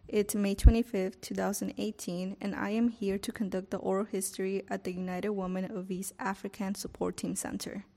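A woman conducting an oral history interview, asking gentle questions that she often restarts with "um.". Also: mid-range voice, little pitch movement, fairly quick, few pauses. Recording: reverberant room, faint noise.

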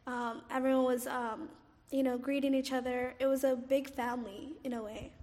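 A woman's voice: sits high, little pitch movement, normal speed, big-room sound. A woman in her twenties, born in Somalia and raised in Cairo, being interviewed for an oral history and recalling her childhood with many "um"s and "like"s. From Somalia, raised in Cairo, Egypt. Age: 20s. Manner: hesitant, frequent "um" and "like", soft laughs. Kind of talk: casual personal recollection.

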